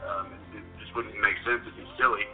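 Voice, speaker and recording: A man speaking earnestly and haltingly as a guest, with many hesitations. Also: fairly low pitch, flat delivery, faint music behind. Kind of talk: recorded testimony. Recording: tinny telephone-like recording.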